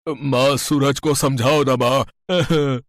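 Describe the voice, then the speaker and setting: A man's voice: mid-range voice, fairly even intonation, drawn-out words, no echo, clean studio sound, pitched above the performer's own voice. A man voicing a middle-aged father in a storybook tale, placating, apologetic and hesitant. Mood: pleading.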